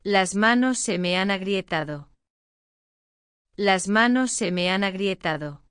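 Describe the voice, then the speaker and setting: A woman reads short lesson phrases, saying each one twice with careful enunciation. Her pace is very unhurried and her voice is smooth and crisp. It is recorded dry on a close mic. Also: mid-range voice, lively intonation, fairly bright tone, dry recording. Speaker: language lesson reader. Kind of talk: slow repeated phrase reading.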